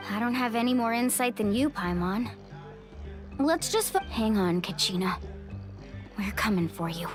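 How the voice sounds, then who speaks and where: A woman's voice: a little high, expressive, moderate pace, music in the background, close to an everyday voice. A woman voicing an earnest, decisive young traveler in a video game, direct and polite. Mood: resolute.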